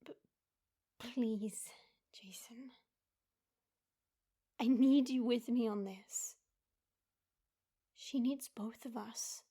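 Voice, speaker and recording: A woman playing a gentle young mother in an audio drama, pleading tenderly and intimately in a breathy half-whisper. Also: fairly high pitch, drawn-out words, long pauses, heavily acted.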